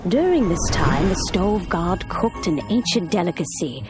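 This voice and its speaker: An actress playing an ageless, wise immortal woman in a video game, telling old tales in a solemn, measured, formal way. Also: lowish voice, big pitch swings, over music. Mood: reverent storytelling. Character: ageless immortal adeptus woman, wise, stately, nostalgic storyteller. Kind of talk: dramatic video game storytelling.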